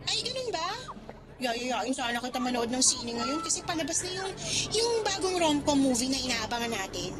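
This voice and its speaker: Chatty young Filipina confidante, high voice, radio drama, loud music underneath. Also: female voice, big pitch swings, moderate pace. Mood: chatty, friendly. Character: young woman, chatty supportive best friend. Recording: loud music bed behind voice.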